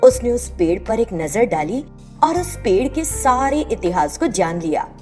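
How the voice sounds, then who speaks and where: A woman's voice: fairly high pitch, very animated, a little slow, dark tone, soft background music. A woman narrating a scripted moral tale as the storyteller.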